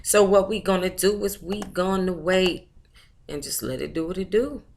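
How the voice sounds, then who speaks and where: A woman's voice: fairly low pitch, normal speed, a little mellow. An American woman hosting a book club livestream, talking in a casual, chatty, slangy way with familiar endearments.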